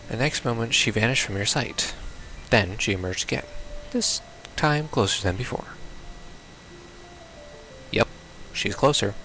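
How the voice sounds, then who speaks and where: A low-pitched man with a gravelly, creaky voice plays a visual novel over background music. He reads the on-screen text aloud in a casual let's play style and drops blunt, baffled asides. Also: bright, forceful tone, low-quality recording. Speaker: gamer playing a visual novel on video.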